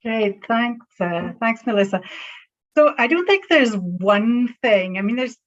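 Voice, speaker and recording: A woman's voice: fairly high pitch, lively intonation, a little slow. A Scottish woman working in banking answers a panel question. She sounds thoughtful and reflective. The audio is dull and muffled. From Scotland. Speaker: panel guest, banker.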